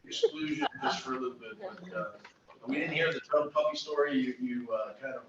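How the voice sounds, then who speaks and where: A man's voice: a little high, sing-song, fairly quick, noisy background. Guy running a board meeting, cracks jokes, lots of uhs, echoey room, far from the mic, kinda muffled. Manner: joking, many "uh" fillers. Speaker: board chair. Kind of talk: meeting remarks.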